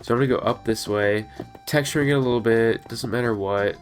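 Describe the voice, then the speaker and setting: A man with a stuffy, congested nose from allergies, casually walking through a building tutorial over gameplay, with relaxed, chatty instructions. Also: medium pitch, brisk, faint music behind. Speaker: gaming video creator.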